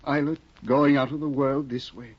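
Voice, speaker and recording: An actor playing a fussy, earnest scholarly husband in a 1950 radio drama, flustered and hesitant. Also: male voice, medium pitch, steady tone, normal speed, breathy edge, close to an everyday voice. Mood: mournful. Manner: flustered, hesitant, with many uh's. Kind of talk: 1950s radio drama acting. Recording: dull lo-fi 1950 radio broadcast.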